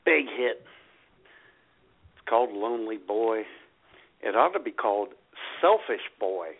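Animated, gravelly man on tinny radio. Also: lowish voice, a little slow. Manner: joking, irreverent. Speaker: internet radio co-host. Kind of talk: casual comedic banter. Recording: tinny, phone-like internet radio sound.

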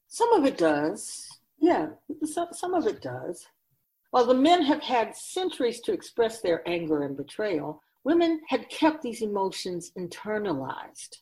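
A woman's voice: mid-range voice, big pitch swings, a little slow, warm tone, rough recording. A woman playing a wise, grave otherworldly keeper of souls in a radio drama, speaking in an oracular, instructive and emphatic way.

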